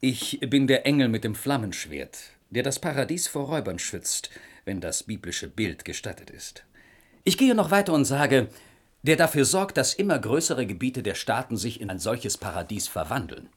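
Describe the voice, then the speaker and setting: An actor playing a pompous, self-assured cavalry captain with flowery courtesy in a German radio play. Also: male voice, medium pitch, big pitch swings, normal speed, slightly rough. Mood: haughty.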